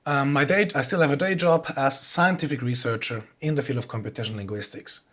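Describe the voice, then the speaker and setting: A man's voice: medium pitch, quick pace, a bit bright. Plain-spoken man with a Swiss accent. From Switzerland. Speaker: composer giving a talk. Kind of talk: informal online presentation.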